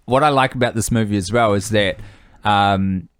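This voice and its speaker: A man's voice: on the low side, normal speed, smooth, no echo. A man co-hosting a casual film-review podcast, adding wry, jokey remarks. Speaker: podcast co-host.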